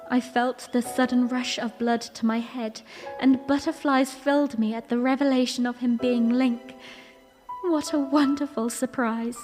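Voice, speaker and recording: A woman's voice: a little high, fairly even intonation, measured pace, a little mellow, big-room sound, faint music behind, natural voice. A woman playing a young princess, narrating her memory in a dramatic first-person audio drama style. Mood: delighted wonder.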